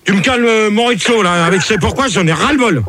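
High-voiced bossy male host. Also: fairly quick, very noisy. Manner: bossy, barks dismissals at guests. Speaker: host of a mock debate show. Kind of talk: bossy comic radio hosting.

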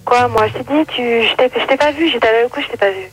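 A woman's voice: fairly high pitch, rapid-fire, a bit bright, slightly rough. Woman teasing a caller on a radio phone line, flirty and casual.

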